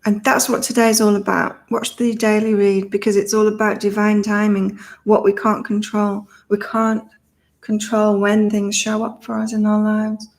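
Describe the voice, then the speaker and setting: Middle-aged British-born woman, psychic livestream host, monotone, loose and repetitive. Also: mid-range voice, normal speed. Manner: rambling, thinks aloud, repeats herself. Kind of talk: casual live chat reading viewer comments.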